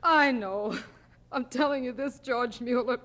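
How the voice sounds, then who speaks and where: A woman's voice: fairly high pitch, unhurried, a bit bright. An actress playing a bitter, grieving young mother, accusing and insistent, in a radio drama. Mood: bitter, angry.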